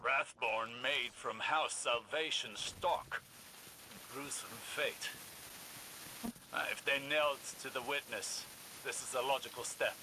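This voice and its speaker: An actor playing a weary, obsessed older warlock scholar in a video game, speaking in clipped, formal, portentous phrases. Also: male voice, mid-range voice, slow pace, noisy background, close to an everyday voice. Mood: grave, wary. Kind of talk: dramatic video game dialogue. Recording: loud music behind the voice.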